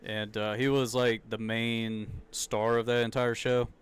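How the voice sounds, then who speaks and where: Young man, Southern accent, relaxed chatty race reaction, clean smooth tone. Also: mid-range voice, a little slow. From American South. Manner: chatty, loose, laughs often, says dude and like. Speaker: live stream race commentator. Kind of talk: casual live reaction commentary.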